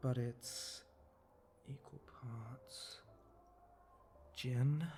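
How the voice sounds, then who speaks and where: A sly, flirty spider-monster bartender acted out by a man murmurs in a mellow, creaky voice for an intimate ASMR roleplay. He takes his time, very slow and teasing, and often slips into a breathy whisper. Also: mid-range voice, a little hiss or hum.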